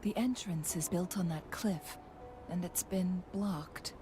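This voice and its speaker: An actress voicing a calm, thoughtful young woman in a fantasy video game, soft-spoken and measured, with music behind her. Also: fairly low pitch. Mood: calm, focused. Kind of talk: scripted video game dialogue.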